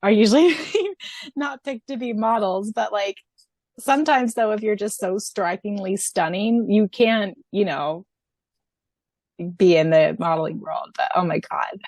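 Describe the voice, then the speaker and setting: A woman's voice: medium pitch, unhurried, a little mellow, dry recording. A woman co-hosting a fan podcast in casual chat, gushing and giggly in her enthusiasm. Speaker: podcast co-host.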